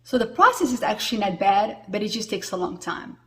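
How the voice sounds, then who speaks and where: Chatty moroccan-born woman in her 40s vlogging to camera, lots of ums, mid pitch. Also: big pitch swings, a little fast. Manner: chatty, with frequent fillers. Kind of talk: casual talk to camera.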